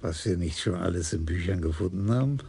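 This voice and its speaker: An actor playing a wry, stubborn police commissioner in a radio crime play. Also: male voice, low-pitched, expressive, brisk, creaky, mellow, husky, exaggerated voice. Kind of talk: radio drama acting.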